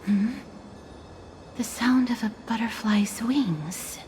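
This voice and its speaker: A woman playing a calm, courteous and resolute young heroine in a fantasy video game. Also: a little high, slow pace, heavy background noise. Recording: game music behind.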